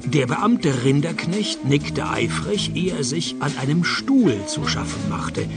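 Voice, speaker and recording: A man playing a cynical, deadpan private detective and narrator in a radio play. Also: fairly high pitch, slow, gravelly, over loud music, exaggerated voice. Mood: laconic narration. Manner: deadpan, sardonic.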